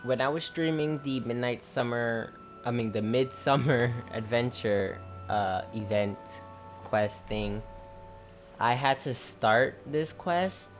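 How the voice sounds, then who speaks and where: A young man streams a game in a mid-pitched voice. He chats casually and rambles, with faint music underneath. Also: takes it slowly. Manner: informal, rambling. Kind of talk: casual gameplay commentary.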